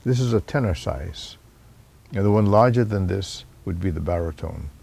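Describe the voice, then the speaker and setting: Older man from Honolulu, deep and gravelly, measured interview explainer. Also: lively intonation, a little mellow. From Honolulu, Hawaii. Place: indoors, seated on a couch.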